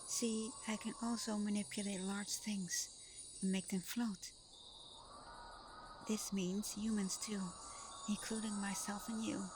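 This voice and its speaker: A woman playing Santa's gentle, bashful adopted daughter in an ASMR roleplay, soft-spoken, hesitant and apologetic. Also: medium pitch, noisy background, close to an everyday voice. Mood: reassuring. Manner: soft-spoken, hesitant, breaking into little giggles.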